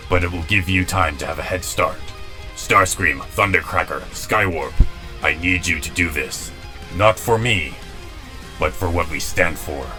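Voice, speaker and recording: Battle-weary robot commander, bottomless low pitch, stoic, solemn, comic dub drama, music underneath. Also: male voice, sharp tone. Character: weary, stoic male robot commander, grave and self-doubting.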